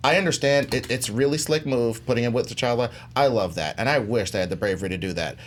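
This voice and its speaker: A man's voice: medium pitch, fast. A man debating informally as a contestant, slangy and emphatic, repeating phrases for punch. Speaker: debate contestant on a movie debate show. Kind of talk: informal pop-culture debate.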